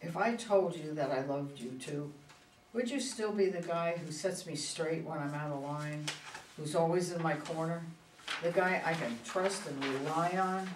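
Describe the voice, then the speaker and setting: A woman's voice: low voice, normal speed, close to an everyday voice. A woman acting a guarded widowed politician, echoey room. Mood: tender, anxious. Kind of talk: staged play reading. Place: echoey room at a reader's theater. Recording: roomy, distant mic, faint noise.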